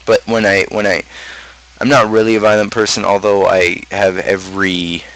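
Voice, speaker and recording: A man talking casually as a streamer, explaining his own lyrics in a halting way, often restarting his phrases. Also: on the low side, flat delivery, a bit bright, low-quality recording. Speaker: streamer and rapper.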